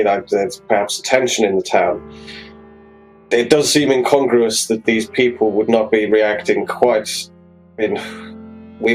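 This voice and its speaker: A man playing a proper, thoughtful, educated gentleman in a tabletop roleplay, formal and wordy in manner. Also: fairly low pitch, natural voice, low-quality recording.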